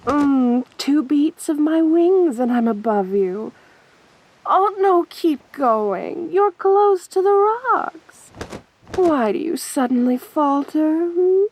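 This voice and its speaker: A woman performing an ASMR roleplay as a sadistic, teasing winged siren, speaking softly and breathily with taunting, coaxing mock sympathy. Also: high-pitched, animated, takes it slowly, a little hiss or hum, heavily acted, poor audio. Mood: mocking, amused. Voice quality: soft, breathy.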